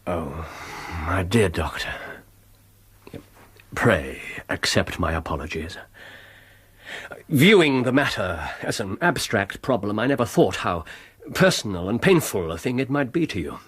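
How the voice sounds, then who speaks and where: An actor playing a brilliant, restless, haughty English detective in a radio drama, precise and pedantic in a theatrical way. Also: male voice, lowish voice, animated, a little slow, a bit bright, husky, heavily acted. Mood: apologetic.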